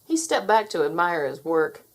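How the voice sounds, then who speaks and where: A woman's voice: on the low side, sing-song, moderate pace. A woman narrating a children's story, reading aloud expressively.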